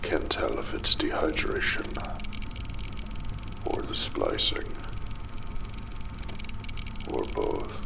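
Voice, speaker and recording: Very deep, gravelly, haunted father. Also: noisy background, heavily acted. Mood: shaken, bitter. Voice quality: gravelly, breathy half-whisper. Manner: halting, broken phrases with heavy pauses. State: exhausted, parched. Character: haunted, splicing-mad father fleeing a ruined undersea city. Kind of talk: dramatic horror monologue, audio drama.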